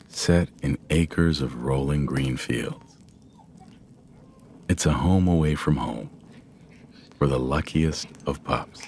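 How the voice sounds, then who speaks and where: A man gently narrating a guided sleep meditation in a soothing, measured way with long pauses. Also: extremely low pitch, slight background noise.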